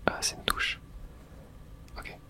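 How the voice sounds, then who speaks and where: Whispered ASMR gaming commentary, barely voiced. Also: slow. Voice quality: breathy, unvoiced whisper. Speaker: gaming video host. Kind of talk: whispered ASMR video game playthrough.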